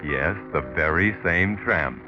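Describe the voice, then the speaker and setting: A muffled vintage radio recording with music behind an ominous mystery narrator. His voice is very low, and he taunts and insinuates with sardonic menace, 1940s style. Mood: knowing, sardonic. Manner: taunting, insinuating, deliberate rhetorical questions.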